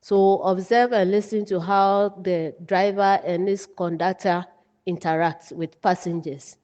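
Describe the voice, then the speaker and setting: A woman giving an instructive lesson, speaking deliberately in short phrases. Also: medium pitch, fairly even intonation, darkish tone, lo-fi sound. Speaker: writing teacher. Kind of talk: lesson narration with read-out examples. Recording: long reverberant echo.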